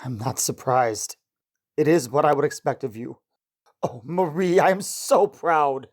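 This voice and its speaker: A woman acts out a devoted scientist husband in a vintage-style radio play. The voice sits low, with a fond, encouraging manner. He is proud of his wife and teases her gently. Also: a little slow. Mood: proud, tender. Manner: tender, encouraging, gently teasing. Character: affectionate, proud scientist husband, adult man. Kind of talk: old-time radio drama acting.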